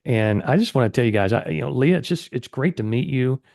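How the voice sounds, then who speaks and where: A man hosts a casual interview podcast in a voice of ordinary pitch. He speaks earnestly and warmly encourages his guests. His tone stays upbeat throughout. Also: expressive, a little fast, gravelly. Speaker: podcast host.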